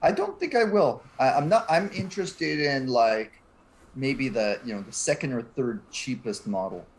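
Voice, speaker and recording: Man, medium pitch, lively intonation, casual panel guest. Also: moderate pace. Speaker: talk show guest. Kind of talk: casual chat.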